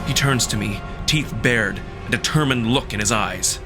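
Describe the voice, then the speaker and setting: A man giving an expressive, dramatic first-person narration of a visual novel, acting out the action. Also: mid-range voice, normal speed. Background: music.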